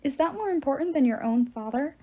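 A woman voicing an ancient male giant in an audiobook, mocking, patient and menacing, with deliberate mock courtesy. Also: sits high, lively intonation, mellow, voice pushed up. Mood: scheming. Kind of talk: audiobook character voice.

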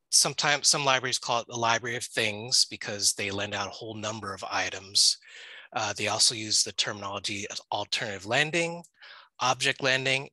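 A man's voice: on the low side, pushed and bright, no room sound, low-quality recording. A man in his thirties giving a casual slide presentation on a video call through a headset, chatty with many fillers and restarts. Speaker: library staff presenter.

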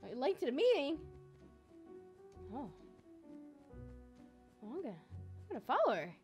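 Very high-pitched, playful young woman gamer. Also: wildly swooping pitch, moderate pace, a little mellow. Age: young adult. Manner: giggly, playful. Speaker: gaming video host. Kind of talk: playful gameplay roleplay chat. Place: indoor home gaming room. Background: music.

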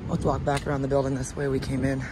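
Teen guy vlogging by a loud road. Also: mid-range voice, monotone. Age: teens. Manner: hurried, apologetic, chatty. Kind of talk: casual walk-around vlog narration. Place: outdoors around an empty fast-food building by a busy road. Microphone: phone camera held at arm's length. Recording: loud traffic noise outdoors.

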